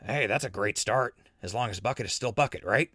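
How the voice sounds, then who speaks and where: Deep, bright, wry wasteland traveler male actor. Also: brisk, slightly rough, dry recording. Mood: relaxed. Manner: dry, casual. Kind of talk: audio drama acting.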